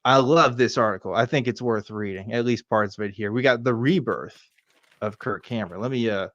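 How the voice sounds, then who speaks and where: A man's voice: mid-range voice, brisk, a bit bright, no room sound, muffled recording. A middle-aged American man hosting a casual show, reading aloud and riffing with sardonic, profane asides. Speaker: podcast host.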